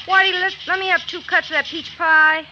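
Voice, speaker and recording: An actress playing a nosy, wisecracking small-town American waitress with folksy slang, in 1940s radio style. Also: female voice, very high voice, little pitch movement, a little fast. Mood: chatty, nosy. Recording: muffled, noisy old radio recording.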